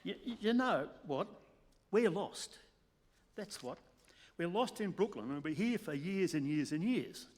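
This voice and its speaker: A man playing an excitable, pleading press photographer in a comic radio play, stammering and repeating himself with urgency. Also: high-pitched, measured pace. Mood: whiny, gloomy. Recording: reverberant hall.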